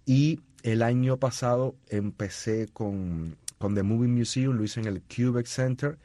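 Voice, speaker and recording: A man's voice: medium pitch, unhurried, dark tone, no echo. A man being interviewed about his music and school shows, telling long stories with frequent restarts and fillers. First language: not Spanish? no. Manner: storytelling with many restarts and fillers. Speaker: musician guest. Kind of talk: conversational interview.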